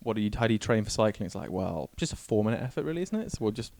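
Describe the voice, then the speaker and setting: A man's voice: fairly low pitch, sing-song, racing, vocal fry, darkish tone, no echo. A man in his twenties chatting casually as a podcast guest, rambling and jokey in a self-deprecating way. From Scotland. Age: 20s. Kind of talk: casual chat. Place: small cluttered office, indoors. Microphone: handheld microphone.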